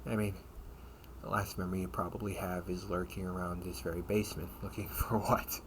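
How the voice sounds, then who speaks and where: A man playing a protective older brother and taunting captor in an amateur audio roleplay, sardonic and menacing, with casual, halting delivery. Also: low-pitched, level tone, lightly acted. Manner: casual, halting, mocking, breathy asides. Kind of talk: amateur audio roleplay acting, one-sided dialogue. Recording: noisy amateur home recording.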